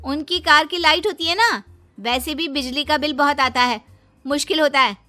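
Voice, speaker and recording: A woman's voice: high-pitched, normal speed, bright, forceful tone. A woman voicing a cheeky, lazy young daughter-in-law in a cartoon who answers rudely and dismissively. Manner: rude, dismissive, mocking.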